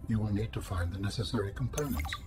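An actor playing a detached, literal-minded ancient alien intelligence in a video game, speaking in measured, stilted, formal phrases. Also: male voice, fairly low pitch, music in the background, close to an everyday voice. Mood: matter-of-fact. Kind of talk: scripted video game dialogue.

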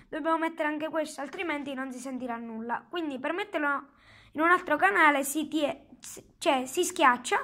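Casual product reviewer, high voice, unhurried halting explanations. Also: bright, forceful tone. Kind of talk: casual hands-on product demonstration. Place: indoors at a table at home. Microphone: handheld phone.

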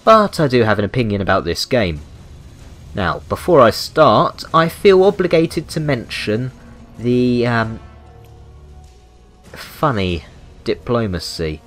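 A man's voice: mid-range voice, very animated, slow pace, long pauses, a bit bright. A British man giving rambling, unscripted video game commentary with a wry, mock-indignant manner. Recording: music behind the voice. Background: background music.

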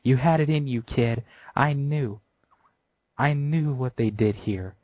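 A man acts out a cruel pegasus mare turned villain in a storybook reading. She yells with seething fury in a raised, strained voice, and the recording stays close and dry. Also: medium pitch, slow pace, dry recording, pitched above the performer's own voice, lightly acted. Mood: furious. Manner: shouting, manic. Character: villainous female pegasus, furious and gloating.

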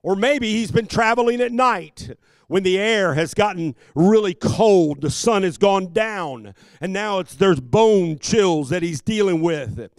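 A man in his fifties preaching a sermon as a pastor, speaking emphatically into a handheld microphone with deliberate repetition. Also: high voice, lively intonation, dry recording. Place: church sanctuary stage at a pulpit. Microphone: handheld microphone held close.

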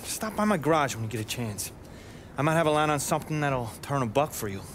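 A man's voice: medium pitch, lively intonation, fast talker, a little hiss or hum, lightly acted. An actor playing an eager, chatty male garage mechanic in a video game. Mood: cheerful. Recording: game dialogue over music.

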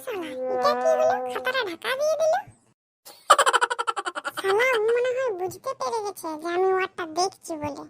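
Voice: very animated, normal speed, over loud music, full character voice. An actor voicing a cheeky, grumbling schoolboy in a comedy cartoon, with a childlike, colloquial way of talking. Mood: annoyed. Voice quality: high-pitched, childlike. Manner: colloquial, grumbling, mildly foul-mouthed. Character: cheeky grumbling schoolboy, unprepared for exam, scheming to cheat.

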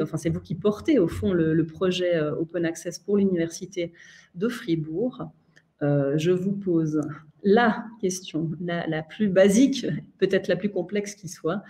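A woman's voice: on the low side, warm tone. A woman in her thirties with a Swiss French accent, moderating a live online panel over a video call, with many fillers and restarts.

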